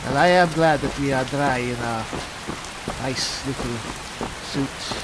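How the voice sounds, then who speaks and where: A man doing in-character roleplay commentary on a game, musing haltingly as if talking to himself. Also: medium pitch, heavy background noise. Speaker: gameplay host, player in character. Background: rain and thunder from the game.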